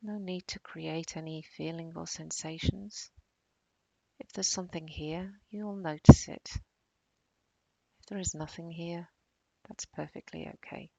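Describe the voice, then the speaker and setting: Female meditation guide: soothing, very slow delivery, soft breathy endings, close dry sound. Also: fairly low pitch, no room sound, poor audio. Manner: calm, soothing, unhurried with long pauses. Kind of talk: guided body-scan meditation.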